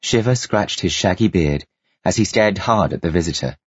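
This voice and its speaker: Deep-voiced man narrating in a dull room. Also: lively intonation, moderate pace, breathy edge, no echo. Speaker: audiobook narrator. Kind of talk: scripted fantasy novel narration.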